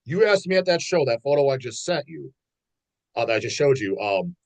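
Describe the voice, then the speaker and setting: A man hosting an interview, telling a story in an excited, casual way. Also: mid-range voice, a little fast. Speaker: host.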